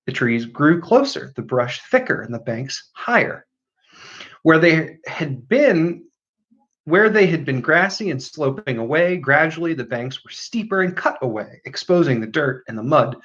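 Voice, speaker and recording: A man reading a novel aloud as an expressive narrator, with deliberate, dramatic pauses and emphasis. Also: fairly high pitch, highs cut off.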